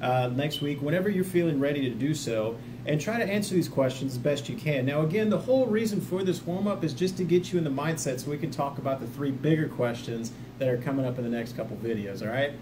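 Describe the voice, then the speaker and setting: A man's voice: fairly high pitch, fast talker, few pauses. A man speaking in a casual, plain-spoken, encouraging way, giving informal instruction partly read from notes, as the host of a vlog. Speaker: vlog host, peer mentor for trauma coping. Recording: clearly noisy ambient background.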